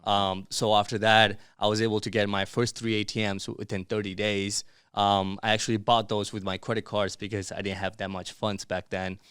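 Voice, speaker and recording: A man's voice: lowish voice, level tone, normal speed, a bit bright, smooth, dry recording, studio quality. A man from Miami by way of Bangladesh and Dubai, chatting casually as a podcast guest in an informal, slangy way. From born in Bangladesh, grew up in Dubai and Miami. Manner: informal and chatty, peppered with slang like bro and dude. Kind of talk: casual interview chat.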